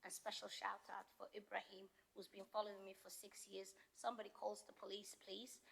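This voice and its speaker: Middle-aged woman, gravelly, warm and emphatic, speaking as an outgoing mayor in a council chamber. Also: medium pitch, completely flat delivery, fairly quick. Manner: heartfelt, joking, emphatic speech. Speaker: retiring Lord Mayor. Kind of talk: farewell speech at a council meeting.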